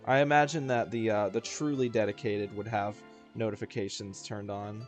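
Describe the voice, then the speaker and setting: A man's voice: lowish voice, fairly even intonation, normal speed. A man livestreaming a video game in casual, slangy, joking and self-deprecating chat. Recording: game music behind the voice. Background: video game music.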